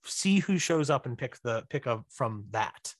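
A man chatting casually as an interview guest, animated and rambling, often correcting himself mid-sentence. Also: medium pitch, measured pace, no echo, studio quality. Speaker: writer-director of audio dramas, podcast guest.

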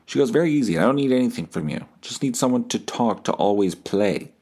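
A man's voice: medium pitch, animated, a little fast, mellow. A man hosting a podcast, telling a story casually and comedically, foul-mouthed and self-deprecating, reading out message exchanges as dialogue. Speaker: podcast host.

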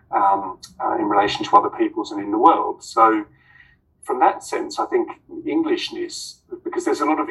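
A man's voice: lowish voice, vocal fry, a bit bright, distant mic, rough recording. A man, an author on a panel, answering hesitantly with many ums and restarts.

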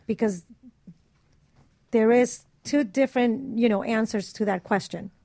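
A woman with a fairly high voice recorded bone-dry. She banters casually as a radio co-host, blunt and brash in how she talks. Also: unhurried, dark tone, dry recording.

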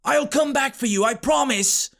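A man's voice: extremely high pitch, normal speed, vocal fry, a bit bright, pitched above the performer's own voice, heavily acted. A man voicing a timid, earnest little magic schoolboy in a storybook, polite and pleading.

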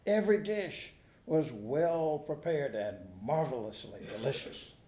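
A man preaching a sermon, reading a gospel passage and then telling stories in a measured, earnest way. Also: fairly high pitch, sing-song, dark tone. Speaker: pastor. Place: church sanctuary. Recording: echoey church.

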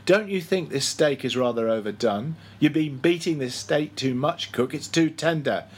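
A man's voice: on the high side, moderate pace, breathy edge. A man voicing a brash, bossy ship's officer in an audiobook, hectoring and imperious with exclamations. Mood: complaining, commanding. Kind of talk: audiobook character voice.

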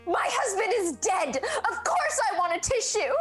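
A very high, slow, swooping voice of a theatrical rich widow who wails and cackles through her grief, as a woman acts her out. Faint music sits beneath this student radio comedy. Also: big pitch swings, a bit bright, heavily acted. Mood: weepy. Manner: sobbing laughter, haughty and shrill. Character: melodramatic wealthy widow, secretly the murderer. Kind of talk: comedic radio play acting.

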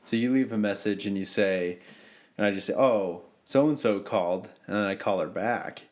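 A man's voice: lowish voice, normal speed. A man co-hosting a podcast, talking in a casual, informal way. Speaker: podcast co-host. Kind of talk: casual chat.

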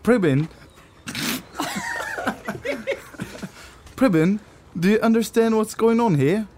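Radio play brother played by a man: Australian, earnest and odd, high voice, unhurried. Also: lively intonation, noisy background. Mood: earnest, eager. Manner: slow, halting delivery. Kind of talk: amateur radio play cold read.

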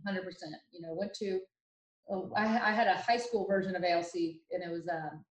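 Young woman guest, lowish voice, chatty storyteller, echoey room. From Ohio, USA. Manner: chatty and animated, many fillers and restarts. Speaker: podcast guest. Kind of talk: casual storytelling interview. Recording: roomy echo.

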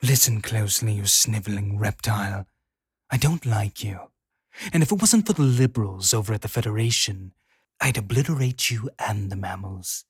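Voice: mid-range voice, animated, moderate pace, slightly rough, no room sound, studio quality. A performer voicing a contemptuous, threatening commander from a science-fiction cartoon.

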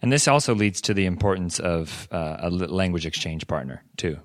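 A man's voice: deep voice, animated, brisk, no echo, clean studio sound. A young man co-hosting a podcast in a casual chat, earnest and encouraging, often restarting his sentences. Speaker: podcast co-host.

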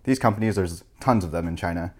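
A man's voice: deep voice, quick pace. A young American man chatting as a podcast guest, rambling and full of filler words, with a chuckle here and there. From United States. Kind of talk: casual chat.

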